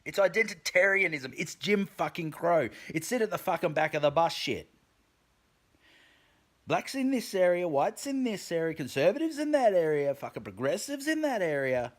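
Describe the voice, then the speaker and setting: A man's voice: high-pitched, very animated, moderate pace. A man delivering a profane opinion rant to camera, emphatic and full of swearing, often stumbling and restarting his words. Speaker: vlogger.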